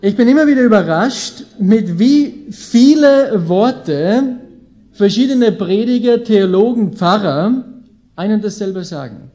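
An Austrian man in his late thirties speaks as a visiting preacher to a live audience. His voice sits high for a man yet has a soft, mellow tone. He keeps it informal and folksy, leaning hard on his key phrases. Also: expressive, slow pace. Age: late 30s. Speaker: visiting preacher, Bible school leader. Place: indoor gathering with an audience.

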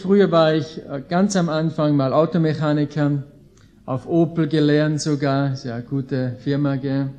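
High-voiced Austrian man preaching. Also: a little mellow. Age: late 30s. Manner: informal, folksy, emphatic on key phrases. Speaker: visiting preacher, Bible school leader. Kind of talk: sermon to a live audience. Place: indoor gathering with an audience.

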